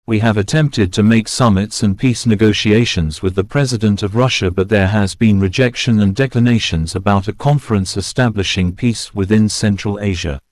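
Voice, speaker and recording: Casting: male actor as grave political official, low voice, formal speechmaking for a war drama, dry recording. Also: a little mellow, no echo, natural voice. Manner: formal, measured oratory. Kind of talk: scripted political address in mock war scenario.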